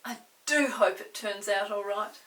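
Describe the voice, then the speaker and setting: A woman voicing an eager young girl in a storybook, breathy and light. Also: medium pitch, measured pace, fairly bright tone, roomy sound, distant mic, natural voice.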